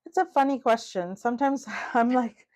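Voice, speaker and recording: A middle-aged woman from Trinidad and Tobago with a Trinidadian accent, co-hosting a podcast in an animated, chatty way full of "you know" asides. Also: fairly high pitch, normal speed. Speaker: podcast co-host. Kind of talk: casual chat.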